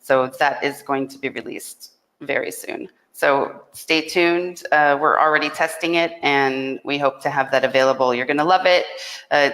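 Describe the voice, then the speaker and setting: A woman's voice: a bass voice, normal speed, fairly bright tone, poor audio. A woman co-hosting a casual live chat as a company rep, explaining things in an upbeat way.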